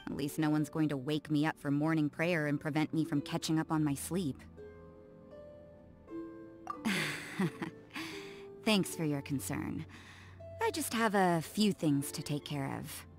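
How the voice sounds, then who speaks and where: Low, languid, aloof game nun. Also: female voice, a little slow, pauses often. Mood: sleepy, indifferent. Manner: languid, dry, detached. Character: cool, aloof, world-weary young nun, blunt and private. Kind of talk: English video game character voice lines. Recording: game music under the voice.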